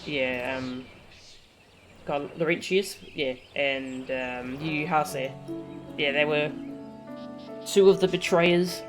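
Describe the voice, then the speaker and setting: A woman's voice: deep voice, slow, pauses often, faint music behind. A woman livestreaming a video game, chatting and commenting as she plays. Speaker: game streamer. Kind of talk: livestream gameplay commentary, reading dialogue aloud.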